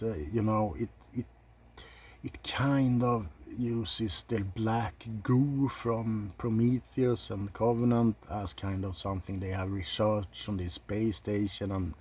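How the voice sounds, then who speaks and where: A man talks through an informal ASMR ranking with a husky, soft voice. He takes his time, pausing and restarting as he forms opinions. His tone is dark and relaxed, yet his verdicts are blunt and sprinkled with swearing. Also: fairly low pitch. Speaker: video host reviewing films. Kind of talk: ASMR film ranking, unscripted commentary.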